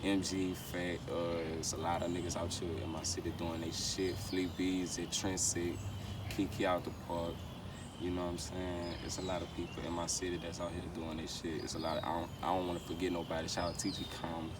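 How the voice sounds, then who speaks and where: A man's voice: low voice, monotone, brisk. A man with a Louisiana accent chatting casually and slangily in an interview, often repeating his phrases. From Baton Rouge, Louisiana. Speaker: R&B singer and rapper being interviewed. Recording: distant mic, loud outdoor street noise.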